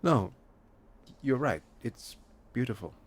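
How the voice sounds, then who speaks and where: An actor playing a reticent, intense musician in an audio drama, speaking with a husky voice in a halting, poetic, introspective way. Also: male voice, mid-range voice, expressive. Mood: guarded, hesitant. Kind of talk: naturalistic audio drama dialogue and lyrical monologue.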